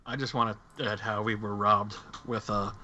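A lo-fi recording with faint noise carries a man co-hosting a podcast. His voice is bright and forceful, slightly low, and he chats casually about movies. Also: flat delivery, normal speed. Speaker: podcast co-host. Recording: muffled lo-fi track with background noise.

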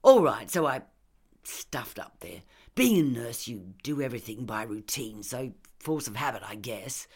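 A woman's voice: very deep voice, sing-song, a little slow, darkish tone, husky, full character voice. A woman voicing a scornful, ranting middle-class female murderer in an audiobook, sneering and emphatic. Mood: sneering, defensive. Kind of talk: audiobook character dialogue.

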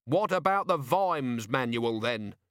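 A man's voice: medium pitch, animated, normal speed, a bit bright, dry recording, studio quality, close to an everyday voice. A man voicing a weary, irritable police commander with an exasperated, sardonic manner in a storybook reading.

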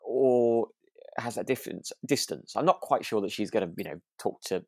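Casting: male podcast co-host, lowish gravelly voice, close dry sound, reflective and halting, informal. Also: no echo. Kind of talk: relaxed casual discussion.

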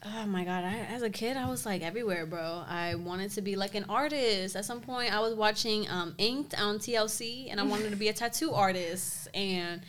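A woman's voice: medium pitch, normal speed, few pauses, a bit bright, slight background noise. A young woman, a co-host, chatting casually on a podcast, rambling and laughing often. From Dominican American, grew up in the US.

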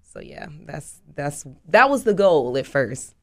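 A woman's voice: low-pitched, big pitch swings, a little slow, no room sound, studio quality. A woman answering questions casually as a guest in an interview.

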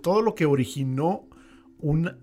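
A man's voice: a little high, animated, unhurried, mellow. A man, co-hosting a podcast in casual, crude, joking banter full of swearing. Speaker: podcast co-host. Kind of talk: casual chat.

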